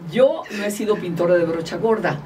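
Animated Mexican woman guest, distant mic. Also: lowish voice, fairly quick, over a noisy crowd. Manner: animated storyteller, laughs often. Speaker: guest politician. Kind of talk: casual interview chat. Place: small studio, indoors. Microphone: table mic, far from speaker.

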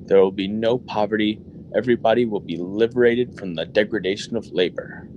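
Man playing a hearty, low-voiced businessman. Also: a little fast, a little mellow, lo-fi sound, slight background noise, close to an everyday voice. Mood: hearty, boastful. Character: folksy, business-minded male factory consul. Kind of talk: radio drama acting.

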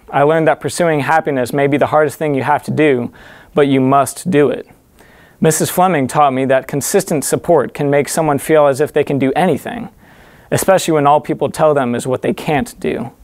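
A man's voice: fairly high pitch, dry recording. Earnest teenage boy giving a graduation speech. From United States. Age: teens. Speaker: student graduation speaker. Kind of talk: prepared commencement speech. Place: indoor studio in front of a curtain.

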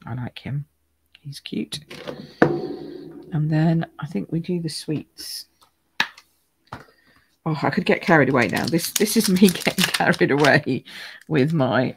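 A woman with a croaky voice, chatting through a live card-making demonstration at her craft table, greeting viewers by name as she stamps and colours. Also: sits low, background noise. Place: home craft room.

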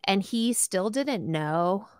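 A woman's voice: medium pitch, lively intonation, takes it slowly, darkish tone, clear, resonant tone. A middle-aged American woman hosting a casual interview from home, speaking into a close desk microphone. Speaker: interview host. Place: room at home, on a video call. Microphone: desk microphone close to mouth, headphones.

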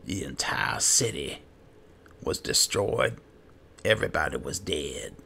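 A man roleplaying his video game character's lines as a player, in a gravelly voice. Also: rumbling low, sharp tone, a little hiss or hum.

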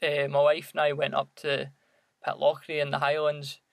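A Scottish man talks casually as a podcast co-host. His voice sits a little high for a man, with a strong creak in it. He is reflective and hesitant, recorded close and dry. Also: monotone, moderate pace, no room sound.

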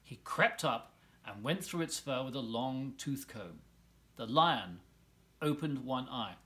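Animated middle-aged man reading a children's story. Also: mid-range voice, slow pace. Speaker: volunteer story reader. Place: living room at home, indoors.

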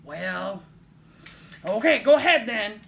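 A woman voicing a mean, hungry old male troll from a children's storybook, with drawn-out, blustering delivery. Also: medium pitch, expressive, vocal fry, a bit bright, a little hiss or hum, exaggerated voice. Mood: grudging. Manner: roaring, drawn-out, blustering threats.

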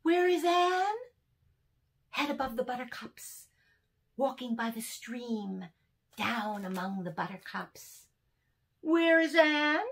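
A woman in her sixties reading children's poems aloud as a storyteller, with deliberate, animated phrasing and dramatic pauses. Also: fairly high pitch. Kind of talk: expressive children's poetry reading. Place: small furnished room indoors.

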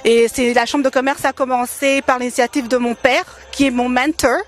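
A woman from Congo in her thirties talks through an interview, her voice sitting a little high. She stops often on fillers and lets small laughs slip between phrases. She speaks into a handheld mic held close by the interviewer. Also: fairly even intonation, moderate pace. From Democratic Republic of the Congo. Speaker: interview guest, event organizer. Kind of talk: casual interview answers. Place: decorated holiday light display, indoors.

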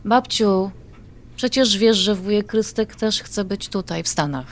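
A woman's voice: medium pitch, unhurried, natural voice. A woman playing an affectionate adult granddaughter in an audio drama, talking in a coaxing, familiar way. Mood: pleading.

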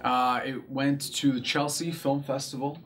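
A young man talks about his acting work in a relaxed studio interview. He sounds eager and often stops to restart his sentences. His voice is picked up by a lapel mic in a small studio. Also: mid-range voice, unhurried. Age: young adult. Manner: eager, with frequent fillers and restarts. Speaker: actor guest. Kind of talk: casual interview talk. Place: small studio set with curtains.

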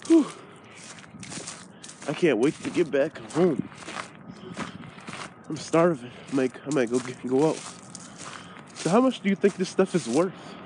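A man's voice: on the high side, animated, a little slow, long pauses, warm tone, a little hiss or hum, poor audio. A young man playing a brash, chatty young thief who rambles to himself while walking an alley, recorded on a close-held phone outdoors. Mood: grumbling. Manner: talks to himself in a restless ramble.

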